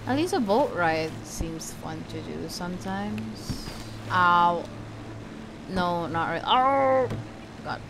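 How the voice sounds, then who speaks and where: A woman's voice: fairly low pitch, animated, measured pace, creaky, hardly any pauses, low-quality recording. A woman giving casual gameplay commentary, thinking aloud and exclaiming as she plays. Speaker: let's play host. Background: game music.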